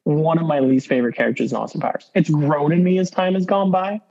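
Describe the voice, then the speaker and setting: A man's voice: fairly high pitch, quick pace, vocal fry, a little mellow, lo-fi sound. A man co-hosting a casual movie podcast, swearing often and quoting scenes with energy. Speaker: podcast co-host.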